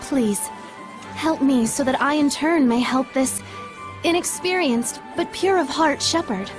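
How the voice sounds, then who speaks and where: An actress playing a composed young princess with gracious, formal and measured phrasing in a fantasy game scene. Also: female voice, high voice. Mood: gracious, earnest. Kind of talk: dubbed fantasy game cutscene acting. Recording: orchestral music behind the voice.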